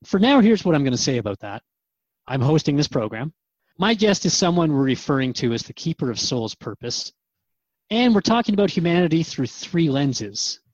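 A man's voice: a little high, darkish tone, no room sound. Dry, slightly muffled male radio host. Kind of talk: hosted radio interview program.

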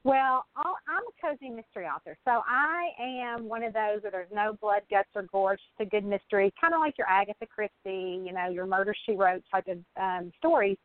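A woman's voice: medium pitch, normal speed, hardly any pauses, dry recording. Effusive woman on a narrow phone line. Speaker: guest, mystery novelist. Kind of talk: casual interview chat.

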